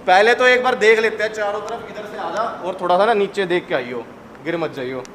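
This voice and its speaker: A young man with a high-pitched voice hosts a casual vlog. He explains things step by step in chatty, colloquial speech. The sound rings in a big empty building, with clear noise behind him. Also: fairly quick, fairly bright tone. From India. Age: 20s. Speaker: host of a haunted-location exploration vlog. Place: large derelict factory and overgrown grounds at night. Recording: echoey derelict building with clear background noise.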